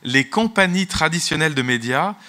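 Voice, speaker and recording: Guy w english as first language giving a conference talk, bright edgy voice, keeps stumbling n restarting. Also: a little high, expressive. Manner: halting, restarts and repeats words often. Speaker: conference speaker, tech company executive.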